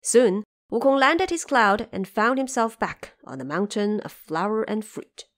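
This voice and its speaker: A woman's voice: on the high side, lively intonation, a little slow. A woman narrator telling an epic adventure story in a scripted, dramatic storytelling style.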